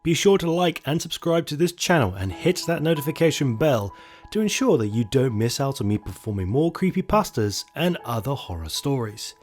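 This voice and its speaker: A man's voice: medium pitch, darkish tone. A man speaking as the host of a horror storytelling channel, delivering a scripted sign-off to listeners.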